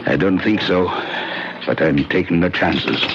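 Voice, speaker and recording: An actor playing a sly, bossy Irish innkeeper and gun-runner in a radio drama, with a husky, folksy, commanding delivery. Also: male voice, low voice, a little slow, background noise. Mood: sly, conspiratorial. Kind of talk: old-time radio drama acting. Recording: dull, muffled old radio recording.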